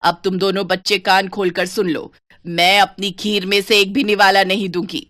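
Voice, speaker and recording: A woman's voice: mid-range voice, little pitch movement, moderate pace, pushed and bright, slight background noise, lightly acted. A woman voicing a greedy, grumpy old village grandmother who scolds and complains. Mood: grumbling, irritated.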